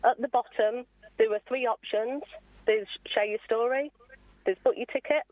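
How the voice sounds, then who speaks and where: A woman's voice: fairly high pitch, moderate pace, no room sound, narrow, tinny audio. A woman being interviewed on radio as an awards founder, explaining things earnestly in a conversational way.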